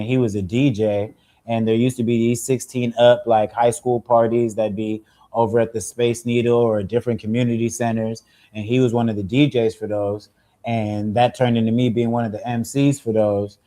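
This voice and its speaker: A man's voice: mid-range voice, level tone, normal speed, warm tone. A young man, a rapper, telling his story in a laid-back, easygoing way during a casual interview. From Zimbabwe-born, raised in Seattle. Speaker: rapper guest.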